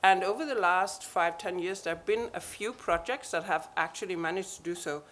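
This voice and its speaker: A woman on a conference panel answering an audience question in a measured, explanatory way. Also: fairly low pitch, fairly bright tone, slight background noise.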